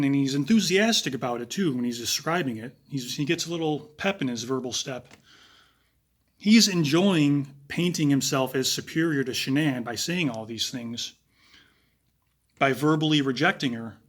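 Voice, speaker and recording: A man with a raspy voice giving analytical true crime commentary, talking casually with pauses and fillers. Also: a little high, a bit bright.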